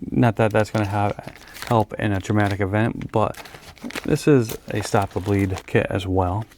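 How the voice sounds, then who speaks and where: A man with a fairly low voice presents gear in a casual walkthrough. He is plain-spoken and explanatory, like a host showing items one by one. There is noticeable background noise in the recording. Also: steady tone, moderate pace, a little mellow. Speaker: host of a gear review video channel. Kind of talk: casual product walkthrough to camera.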